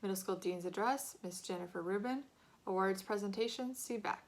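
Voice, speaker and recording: A woman reads a children's novel aloud. Her voice has a medium pitch, and she narrates the scripted prose in an expressive storytelling manner. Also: moderate pace. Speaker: audiobook-style reader.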